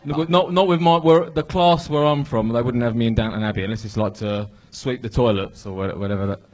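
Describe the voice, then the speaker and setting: A man's voice: medium pitch, fairly even intonation, a little fast, darkish tone. A young man with a London accent chatting on stage as a first-time comic, laid-back and a bit bashful. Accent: London English. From London, England. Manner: laid-back, bashful, says mate a lot. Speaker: first-time stand-up guest. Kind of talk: casual on-stage chat.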